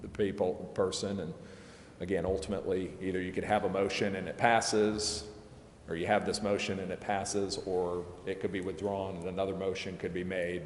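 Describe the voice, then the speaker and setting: A man explaining procedure at a public meeting in an informal, halting, hedging way, like an adviser to a council. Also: sits low, little pitch movement. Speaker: legal adviser to a city council. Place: indoor council chamber. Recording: echoey, reverberant council chamber with background noise.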